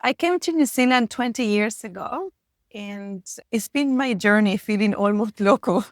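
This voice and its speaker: A woman's voice: mid-range voice, moderate pace, dry recording. Argentine woman, dry close sound. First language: Spanish. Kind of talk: reflective interview answer.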